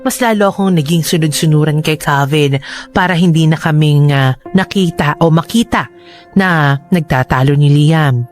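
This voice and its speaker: A man narrating a first-person drama confession in a reflective, slightly halting manner. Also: fairly high pitch, natural voice. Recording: music under the voice.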